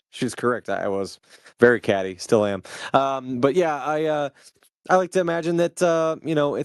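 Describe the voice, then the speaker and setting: Male podcast co-host, average pitch, halting casual delivery, dry recording. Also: darkish tone, no room sound. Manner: halting, rambling, thinking aloud.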